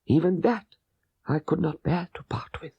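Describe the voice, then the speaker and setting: A man voicing a guilt-ridden, dying old father in an audiobook, halting and laboured, with a breathy voice that fades into whispers. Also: on the high side, lively intonation, mellow, no room sound, voice pushed up, full character voice. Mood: remorseful, laboured. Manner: halting, laboured deathbed speech. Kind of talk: dramatic audiobook character voice.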